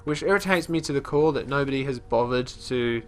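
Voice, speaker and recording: A man's voice: medium pitch, a little fast, a little hiss or hum. Congested man rambling over gameplay. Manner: rambling, chatty, often restarting sentences; talks about his character in third person. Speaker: let's play host. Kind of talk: casual gameplay commentary.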